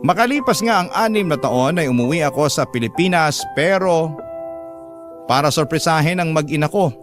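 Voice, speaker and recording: Filipino man, dramatic first-person narration, fairly high voice, clear music behind. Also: animated, moderate pace.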